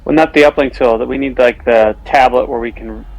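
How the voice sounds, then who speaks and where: A man's voice: mid-range voice, fairly even intonation, brisk, creaky, low-quality recording. A man taking part in a tabletop game as a player, talking casually.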